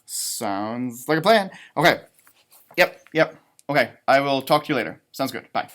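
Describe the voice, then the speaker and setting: A man's voice: medium pitch, moderate pace. A man who teaches, doing a children's storybook read-aloud with playful, rambling, chatty asides. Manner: animated, rambling, playful commentary.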